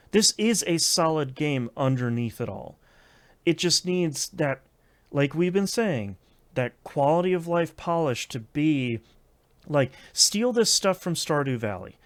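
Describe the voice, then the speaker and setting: A man's voice: fairly high pitch, animated, unhurried. A man co-hosting a video game podcast, talking casually with lots of fillers and restarts. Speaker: podcast co-host. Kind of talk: casual chat.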